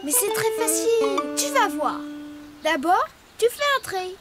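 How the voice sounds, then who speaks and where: A very high, childlike cartoon rabbit boy speaks with a lively, swinging melody. He is cheerful and encouraging, explaining things to little viewers over prominent music. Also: measured pace, exaggerated voice. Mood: instructive. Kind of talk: preschool cartoon dialogue.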